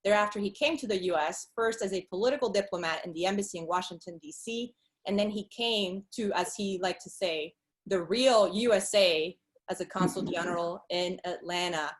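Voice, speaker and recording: A woman's voice: mid-range voice, normal speed. A woman from Venezuela moderating a webinar, introducing a speaker in a courteous, formal way.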